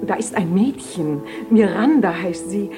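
Actress as flustered, animated noblewoman over music. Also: medium pitch, a little slow, natural voice. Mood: flustered, urgent. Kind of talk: theatrical German radio drama acting.

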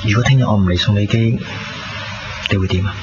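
An actor playing a young boyfriend in a Hong Kong Cantonese radio drama, speaking in a soft, coaxing, conversational way. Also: male voice, fairly low pitch, quick pace, very noisy, natural voice. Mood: tender, reassuring. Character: young man teasing and reassuring his girlfriend. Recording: dull lo-fi radio recording with music.

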